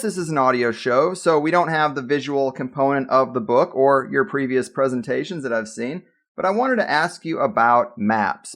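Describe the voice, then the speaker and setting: A man hosting a podcast, reading an emphatic scripted intro and then chatting casually with his guest. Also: on the high side. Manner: emphatic, hype-style introductions. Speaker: podcast host.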